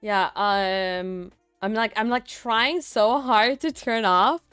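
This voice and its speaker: Smooth-voiced chatty young woman. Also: slow, fairly bright tone, dry recording, clean studio sound, faint music behind. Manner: chatty, laughs often, acts out quotes in a high voice. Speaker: streamer host. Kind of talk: casual chat. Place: home room.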